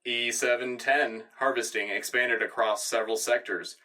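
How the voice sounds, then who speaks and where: A man's voice: medium pitch, fairly even intonation, moderate pace, sharp tone, distant mic, natural voice, rough recording. A man playing an earnest radio news anchor of a future Earth, reading scripted satirical war news in a polished, official manner. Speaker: radio co-host.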